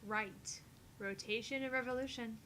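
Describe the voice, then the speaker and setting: A woman's voice: on the high side, steady tone, moderate pace, fairly bright tone, slight background noise. A woman co-hosting a visual novel read-along, reading dialogue aloud with playful, casual banter and the odd stumble over long phrases.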